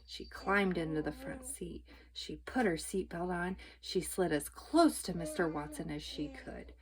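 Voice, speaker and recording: A woman's voice: on the low side, expressive, unhurried, a crowd in the background. Woman reading a children's storybook aloud. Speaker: storytime reader. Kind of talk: children's book read-aloud narration.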